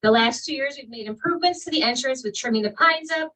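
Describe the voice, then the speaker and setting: A woman on a village council reading residents' letters into the record, in a loud, clear voice at a public meeting. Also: high-pitched, fast. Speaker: council member. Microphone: distant from the microphone. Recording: speaker far from the mic.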